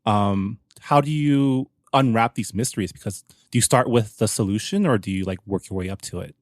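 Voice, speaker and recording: A man speaking as a podcast host in a conversational interview, giving measured lead-ins to his questions. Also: on the low side, dry recording, studio quality.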